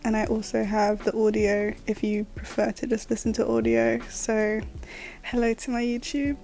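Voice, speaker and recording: A woman's voice: on the high side, level tone, moderate pace, vocal fry, mellow. A woman in her late twenties hosting her own podcast, talking casually and rambling with fillers. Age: 20s. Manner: chatty, rambling, with laughs and fillers. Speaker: podcast host. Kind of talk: casual solo podcast chat.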